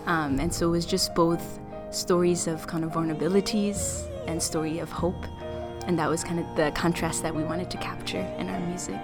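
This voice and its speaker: A young woman student from Ethiopia, talking about her studies and experiences thoughtfully, with fillers and pauses. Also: lowish voice, steady tone, over music. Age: young adult. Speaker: student interviewee. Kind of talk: casual interview.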